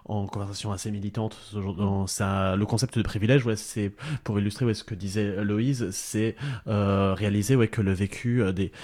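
A man on a radio show, talking in halting phrases and often restarting mid-sentence. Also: lowish voice, fairly even intonation, a little mellow. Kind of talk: radio discussion.

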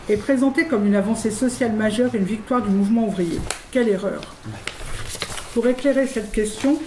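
A woman's voice: a little high, mellow. A woman presenter reading scripted satirical commentary in a formal, declamatory, rhetorical manner. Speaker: radio show presenter. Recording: roomy, faint background noise.

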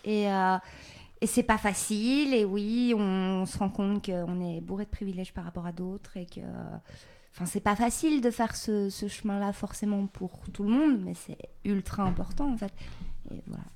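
A woman's voice: mid-range voice, normal speed, darkish tone. A woman speaking on a radio panel in a direct, emphatic way. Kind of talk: radio discussion.

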